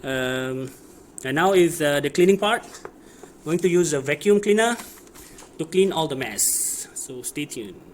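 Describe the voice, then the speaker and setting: A man giving a casual hands-on how-to, speaking in a hesitant, improvised way with searching pauses. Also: a little high, lively intonation. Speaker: DIY tutorial presenter. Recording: clear background noise, ambient sound.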